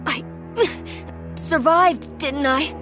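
Feisty high-pitched young superheroine. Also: female voice, drawn-out words. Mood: defiant. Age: young adult. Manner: sarcastic, spirited. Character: feisty young woman superheroine, defiant and wry. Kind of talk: animated motion comic acting with inner monologue. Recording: music under the voice.

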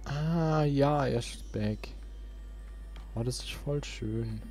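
A man's voice: mid-range voice, takes it slowly, darkish tone, faint music behind. A man giving casual gaming commentary as a let's player.

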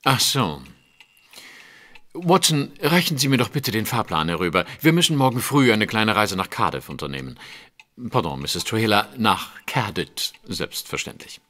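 Ein Schauspieler spricht einen scharfsinnigen, beherrschten viktorianischen Detektiv in einem deutschen Hörspiel, präzise, förmlich und nachforschend. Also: male voice, on the low side, big pitch swings, moderate pace, sharp tone. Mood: composed, formal. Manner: precise, formal, probing. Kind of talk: German audio drama acting.

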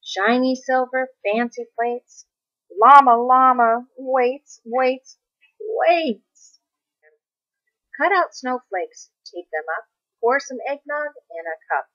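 A woman reading a rhyming children's story aloud expressively, with deliberate singsong phrasing. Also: sits high, a bit bright, muffled recording. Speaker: storybook reader.

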